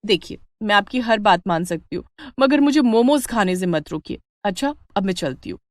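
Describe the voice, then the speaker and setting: A woman's voice: medium pitch, animated, normal speed, no echo, studio quality, natural voice. Woman voicing an eager, chatty young village woman. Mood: eager, pleading. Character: lively, food-loving young village women friends.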